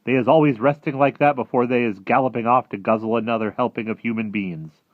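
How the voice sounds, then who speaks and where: A man voicing an excitable, friendly storybook giant who mangles his words in folksy, childlike grammar. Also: mid-range voice, quick pace, no echo, phone-quality sound, voice pushed up, lightly acted. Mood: eager, explaining.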